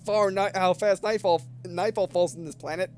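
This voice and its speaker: A man's voice: high-pitched, steady tone, moderate pace, darkish tone, faint music behind. A man hosting a gaming video, reading visual novel text aloud with casual commentary and often stumbling and rereading phrases.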